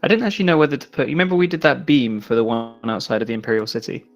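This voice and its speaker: A man with an Irish accent speaks at a middle pitch in a relaxed, conversational livestream style. He talks as a team member explaining his own work, with quiet music behind him. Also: racing, muffled recording. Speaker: landscape designer on a game modding team. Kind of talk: casual livestream chat. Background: faint music.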